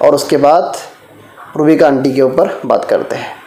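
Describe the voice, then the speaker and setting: A man's voice: on the high side, moderate pace, dark tone. A man presenting, introducing a clip to his viewers. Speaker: video presenter. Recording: roomy, echoing voice recording.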